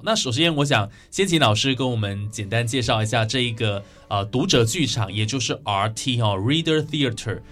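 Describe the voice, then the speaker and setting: A man's voice: mid-range voice, a bit bright. A man with a Taiwanese Mandarin accent hosting a radio interview. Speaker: radio host.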